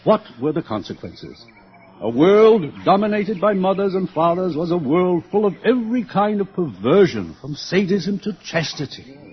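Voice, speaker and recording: Grand, theatrical controller with sweeping pitch. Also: male voice, sing-song, normal speed. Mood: dramatic lecturing. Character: urbane, authoritative world controller addressing students. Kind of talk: 1950s radio drama acting. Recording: dull old radio broadcast recording.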